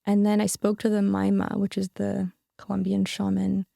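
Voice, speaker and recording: A young woman shares a personal story on a podcast, earnest and full of fillers and restarts. Her mid-pitched voice is warm and mellow with a gravelly edge. The sound is dry and close. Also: completely flat delivery, moderate pace, no echo. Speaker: podcast guest. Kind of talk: casual reflective storytelling.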